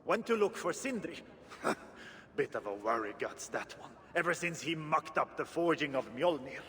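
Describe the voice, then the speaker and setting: A man voicing a gruff, nonchalant dwarf artisan in a video game, colloquial and blunt. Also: a little high, animated, normal speed, a bit bright, big-room sound, a little hiss or hum. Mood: offhand. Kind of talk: video game character acting.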